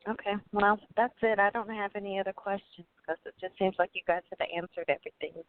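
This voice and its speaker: A woman with a clear, smooth voice calls in with casual questions. She is heard over a narrow, phone-quality connection. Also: medium pitch, fairly even intonation, brisk. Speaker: caller asking questions.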